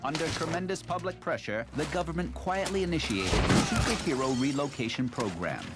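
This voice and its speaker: A dramatic male news reporter from an animated film, voiced by an actor. He narrates with a lively, rising and falling voice over loud music. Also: fairly high pitch, fairly quick, natural voice. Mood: grave, dramatic. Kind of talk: scripted newsreel narration.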